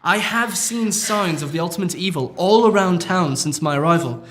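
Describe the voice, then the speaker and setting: A solemn, formal tutor with an accent, played by a man, polite and earnest. His voice sits high for a man. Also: expressive, normal speed, slight background noise.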